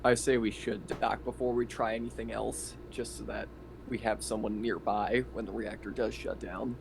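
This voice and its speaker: A man's voice: fairly low pitch, level tone, normal speed, gravelly. A man in casual conversation as a tabletop game player, explaining options to the group. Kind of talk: casual chat and rules explanation. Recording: faint background noise on online call.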